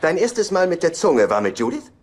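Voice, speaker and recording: A man's voice: fairly high pitch, very animated, moderate pace, heavy background noise. A male actor dubbing a naive, good-natured, nerdy sitcom husband with an eager, guileless manner. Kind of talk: dubbed sitcom dialogue.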